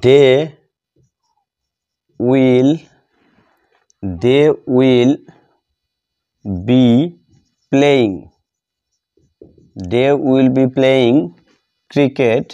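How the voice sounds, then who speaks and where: A man with a Bengali accent teaching a grammar lesson step by step, halting and repeating phrases in short fragments as he writes. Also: medium pitch, little pitch movement, warm tone, smooth. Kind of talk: step-by-step grammar lesson, spoken while writing. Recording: dull lo-fi sound.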